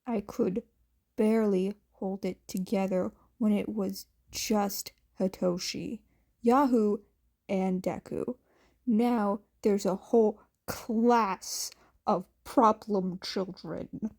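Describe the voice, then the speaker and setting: Woman playing a gruff tired man, slightly lowered pitch, mellow, terse, grumbling, story character. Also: steady tone, slow pace. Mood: exasperated. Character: gruff, weary adult male underground hero, grumbling guardian.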